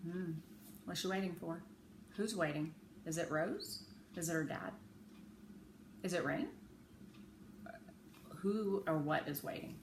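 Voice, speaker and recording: A woman narrating a children's story aloud in a measured, clearly phrased way. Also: fairly low pitch. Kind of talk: children's audiobook-style storytelling. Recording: roomy echo with faint background noise.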